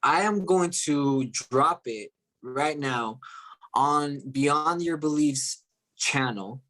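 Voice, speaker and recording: A man's voice: on the high side, slow, fairly bright tone, rough recording. Motivational male host from Chile, repetitive and enthusiastic. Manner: enthusiastic, repeating phrases with frequent "okay?" and "right?" tags. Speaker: host of an online group call. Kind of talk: motivational talk to a live online audience.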